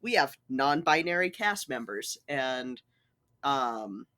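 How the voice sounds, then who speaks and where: A person in their thirties co-hosts a casual podcast. The voice is bright and mid-pitched. The delivery takes its time and lands agreement with emphasis. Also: big pitch swings, slow. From Utah, United States. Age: 30s. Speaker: podcast co-host.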